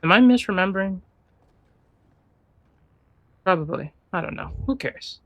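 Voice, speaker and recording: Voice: medium pitch, expressive, a little slow, fairly bright tone, no room sound. A game streamer giving casual let's-play commentary in an offhand way, muttering as if to themselves. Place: indoor gaming setup, game music behind.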